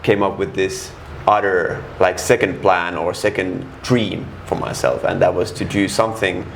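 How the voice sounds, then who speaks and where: Low gravelly-voiced Finnish man. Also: steady tone, a little hiss or hum. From Finland. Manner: frank, halting, many restarts and fillers. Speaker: guest. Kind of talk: casual interview.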